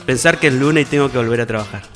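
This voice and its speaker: A man's voice: mid-range voice, very fast, fairly bright tone, in a large hall, soft background music. A man, hosting a casual radio show and reading listener messages with self-mocking banter. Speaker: radio host.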